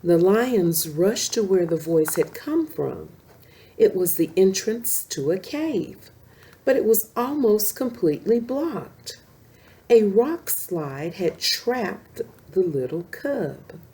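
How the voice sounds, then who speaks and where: Mellow, animated woman storyteller. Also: medium pitch. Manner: pauses to explain pictures to listeners. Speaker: children's story reader. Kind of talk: expressive read-aloud storytelling.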